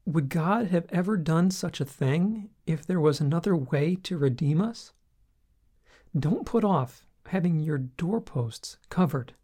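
A man reading a scripted devotional teaching aloud, with measured, deliberate phrasing and a solemn, earnest manner. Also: a little high, expressive, mellow, slightly rough. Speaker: Bible teacher reading own article.

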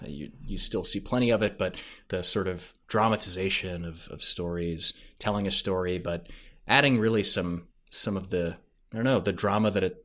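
A man's voice: low-pitched, a bit bright. A man talking casually as a podcast guest, hesitant and thinking aloud as he answers. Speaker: podcast creator, interview guest. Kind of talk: casual interview conversation.

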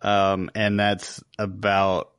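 A man's voice: lowish voice, completely flat delivery, extremely slow, fairly bright tone, clear, resonant tone, dry recording, clean studio sound. A man co-hosting a podcast, casually chatting through a film review and acting out his reactions as he retells it. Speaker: podcast co-host.

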